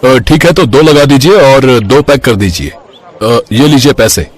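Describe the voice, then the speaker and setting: A man with a husky voice voicing polite everyday men in a cartoon moral story. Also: mid-range voice, a little slow, lightly acted. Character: polite everyday men: father, lawyer, customer.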